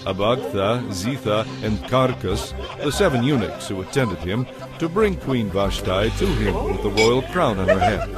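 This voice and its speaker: Low, expressive male narrator over music. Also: measured pace, hardly any pauses. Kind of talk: dramatic scripted Bible narration.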